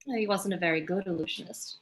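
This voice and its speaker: A woman's voice: lowish voice, fairly quick, a little hiss or hum, lightly acted. A woman playing a cool, unsentimental widow with a dry, morbid manner in a script table read.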